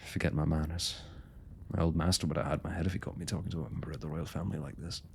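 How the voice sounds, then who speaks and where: A man's voice: rumbling low, steady tone, very fast, vocal fry, darkish tone, a little hiss or hum. A man playing a young royal blacksmith in an intimate fantasy roleplay, speaking to the listener in courtly, hesitant, old-fashioned phrasing. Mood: wry, reminiscing. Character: young male royal blacksmith, smitten, forthright, proud working man.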